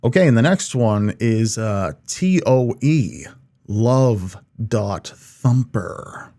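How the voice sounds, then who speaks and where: A man's voice: mid-range voice, lively intonation, slow, mellow, no echo. A man hosting a reaction video, giving informal, jokey commentary in a rambling way. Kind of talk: casual, profane reaction commentary.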